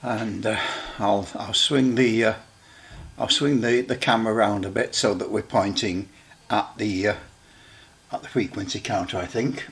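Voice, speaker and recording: Halting man casually demonstrating equipment. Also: on the low side, steady tone, fairly bright tone. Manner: halting, rambling, repeats himself. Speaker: radio hobbyist presenter.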